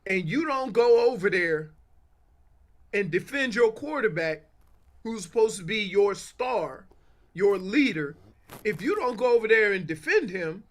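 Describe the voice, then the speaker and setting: A man's voice: high voice, lively intonation, a little slow. A man talking sports as a guest analyst, emphatic and colloquial, often repeating his phrases for weight. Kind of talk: animated sports talk.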